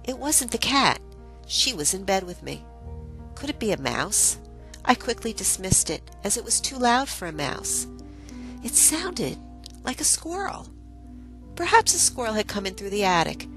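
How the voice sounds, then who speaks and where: A woman reading a first-person story as scripted narration, with deliberate, dramatic phrasing. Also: medium pitch, very animated, a bit bright, soft background music. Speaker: storyteller narrating own memoir.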